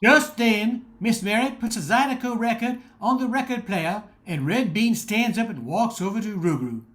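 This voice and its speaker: A woman's voice: fairly high pitch, lively intonation, moderate pace. A woman narrating an amateur radio play as a scripted storybook tale.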